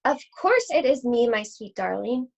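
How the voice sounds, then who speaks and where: A woman's voice: a little high, lively intonation, a little slow, creaky, heavily acted. A woman voicing a sly, hungry storybook wolf who poses as a sweet granny, coaxing in an exaggerated sugary way. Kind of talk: storybook character voice. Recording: dull, lo-fi sound.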